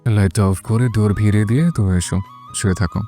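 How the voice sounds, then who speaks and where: A man acts out a young husband in an audio romance, bossy and teasing. His low, dark voice gives curt commands, with music behind it. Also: fairly quick, lightly acted. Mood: calm, commanding.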